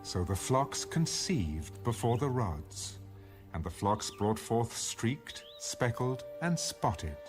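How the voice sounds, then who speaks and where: A man's voice: medium pitch, very animated, slow pace. A man giving a dramatic scripted narration of scripture, as in an audio Bible. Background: background music.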